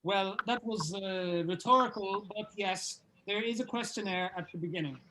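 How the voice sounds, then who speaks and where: A performer in a comedy table read playing a pompous, grandiose quizmaster of a heavy metal band, with deadpan formality. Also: medium pitch, moderate pace, background noise, close to an everyday voice. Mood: solemn.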